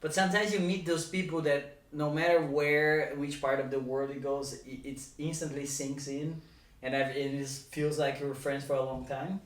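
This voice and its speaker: A man's voice: on the high side, flat delivery. A man from Brazil co-hosting a comedy podcast, teasing and joking in casual chat. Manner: joking, teasing, quick laughs. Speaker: podcast co-host. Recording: roomy, distant mic.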